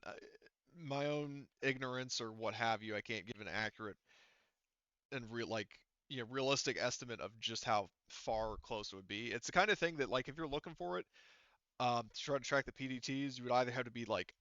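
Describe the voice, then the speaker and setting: A man with a smooth, clear voice runs a tabletop roleplaying game. He talks casually and thinks aloud as he improvises. The sound is close and dry, though a little dull. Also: mid-range voice, fairly bright tone, no room sound. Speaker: game master.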